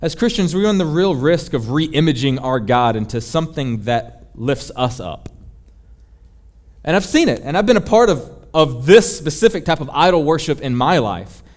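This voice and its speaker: A man's voice: a little high, sing-song, moderate pace. A man preaching a sermon in an emphatic, rhetorical and confessional manner. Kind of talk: sermon, teaching.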